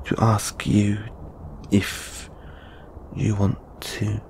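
A man playing a shy, anxious, lovelorn young lamia flatmate in an intimate audio roleplay, speaking hesitantly with stammers and sighs. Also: fairly low pitch, extremely slow, vocal fry, slight background noise, full character voice. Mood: wistful, embarrassed.

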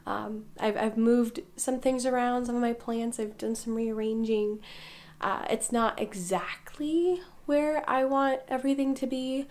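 A young woman in her twenties, slightly hoarse from a cold, chatting casually with viewers into a phone held at arm's length. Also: fairly high pitch, steady tone, a little slow, darkish tone. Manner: chatty, laughs often. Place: bedroom with houseplants, indoors. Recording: background music under voice.

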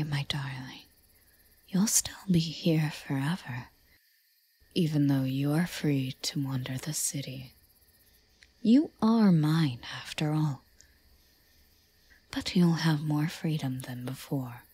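A woman playing a regal, possessive yandere elven queen in an audio drama, breathy and often whispery, with a solemn, intimate, measured delivery. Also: low voice, a little mellow, exaggerated voice. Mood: possessive, tender. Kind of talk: slow roleplay audio drama.

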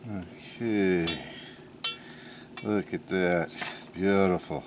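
A man's voice: deep voice, fairly even intonation, drawn-out words. A middle-aged man casually demonstrating cooking outdoors, making offhand, folksy remarks as he works. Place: outdoors in a wooded backyard. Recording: outdoor camcorder with clear clattering background noise. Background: outdoor ambience, pots and cutlery clatter.

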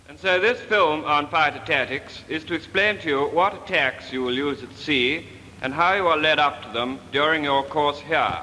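A brisk wartime naval instructor addressing trainee pilots. His voice is fairly high and sharply forceful, with formal, measured authority. It rings in a reverberant room on an old, slightly noisy film soundtrack. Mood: firm, instructive. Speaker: lecturer. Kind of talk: 1940s training film lecture.

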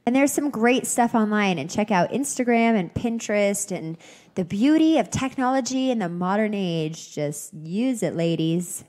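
A woman's voice: medium pitch, expressive, moderate pace, a little mellow. A young woman hosting a solo podcast, chatty and upbeat, sounding a little nasal and congested from a cold. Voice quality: slightly nasal. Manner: chatty, upbeat, rambling with fillers. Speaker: podcast host. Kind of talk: casual solo podcast chat.

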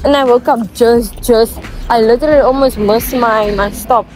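A woman's voice: fairly high pitch, normal speed, music in the background. A young woman chatting casually to her vlog as a student, in a slangy, informal way with swearing. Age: young adult. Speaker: student vlogger.

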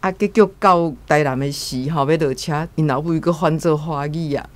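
A woman's voice: deep voice, fairly even intonation, moderate pace. A middle-aged woman with a Taiwanese accent giving earnest, admonishing commentary as a guest. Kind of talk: reflective spoken commentary.

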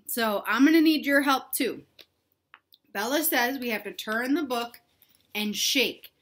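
Bright-voiced young woman reading to kids. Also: a little high, measured pace. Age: young adult. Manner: expressive, simple child-directed talk with questions. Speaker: children's read-aloud host, teacher. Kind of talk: animated picture-book read-aloud for young children. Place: living room at home, indoors.

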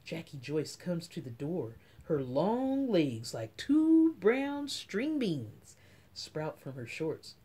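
A middle-aged woman reading a children's picture book aloud as story time host, with dramatic, drawn-out emphasis. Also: sits low, sing-song, mellow, husky. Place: small room at home.

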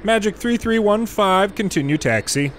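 A man doing gameplay commentary, mixing measured air traffic control phraseology with muttered casual asides. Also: sits high, expressive. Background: game airport ambience.

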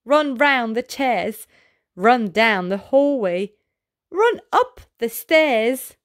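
High-pitched, very animated woman storyteller. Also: very slow. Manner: sing-song, exaggerated delivery for young children. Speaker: children's storyteller. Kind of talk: expressive read-aloud of a picture book.

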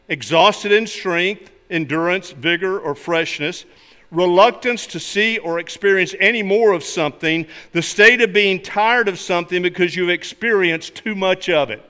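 An older male pastor preaches from the pulpit of a large church. His voice is high and forceful, and his delivery is deliberate and emphatic. The sound carries the long reverberation of a big hall. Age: older, 60s or 70s. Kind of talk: sermon with illustrations and scripture readings.

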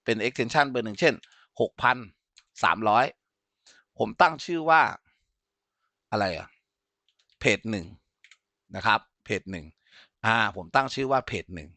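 A man giving an informal software tutorial as an instructor, explaining step by step and often checking that listeners follow. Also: fairly low pitch, very animated, unhurried, long pauses, no room sound.

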